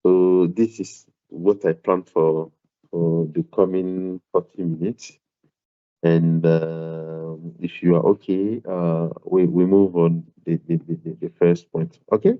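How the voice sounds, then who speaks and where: A man with a very low voice and a non-native accent lectures an online maths class. He talks hesitantly, repeating words and filling pauses as he goes. The sound is dull and muffled, like a laptop mic on a video call. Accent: non-South African, foreign to English. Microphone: computer mic on a video call.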